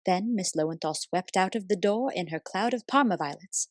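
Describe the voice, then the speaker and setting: An actress playing a devoted female assistant who narrates a detective radio play in an expressive, literary way. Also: mid-range voice, moderate pace, dry recording, lightly acted. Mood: wondering, devoted. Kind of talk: dramatic first-person radio play narration.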